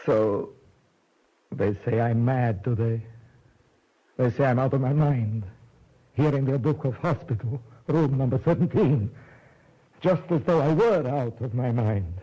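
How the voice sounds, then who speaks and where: A man's voice: medium pitch, a little slow, long pauses, dark tone, close to an everyday voice. A man playing a feverish, obsessed young officer. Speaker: lead actor in radio drama. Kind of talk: 1940s radio acting. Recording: lo-fi old radio broadcast.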